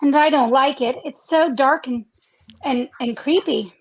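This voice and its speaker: A woman plays a tender-hearted young girl in a comic radio play. Her voice is high and girlish, with an earnest, innocent, breathlessly gushing manner. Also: measured pace, muffled recording. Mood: uneasy. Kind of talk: parody radio play acting.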